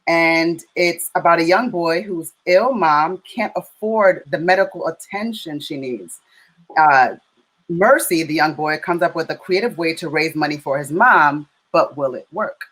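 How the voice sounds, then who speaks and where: An American woman with a fairly low voice co-hosts a film Q&A. She is chatty and playful, putting her questions in a casual, conversational way. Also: measured pace, a bit bright, rough recording. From Chicago, United States. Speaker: co-host interviewer.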